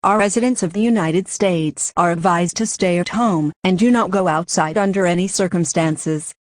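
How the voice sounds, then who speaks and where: A woman's voice: fairly low pitch, moderate pace, no room sound, lightly acted. A synthetic woman's voice as an emergency alert announcer, reading a scripted warning in a formal, impersonal manner.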